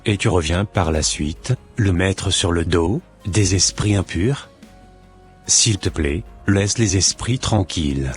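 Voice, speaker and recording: A man playing a suspicious, impatient husband in an animated drama, speaking in a deliberate, formal way with a husky voice. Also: sits low, soft background music. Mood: accusing, indignant. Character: suspicious, impatient husband waiting for his unfaithful wife.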